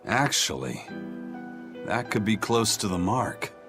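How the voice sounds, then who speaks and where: A seasoned pirate captain with a low voice, calm and grave. He weighs each word coolly, while game music plays clearly behind. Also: slow, exaggerated voice. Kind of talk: game dialogue dub. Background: game score.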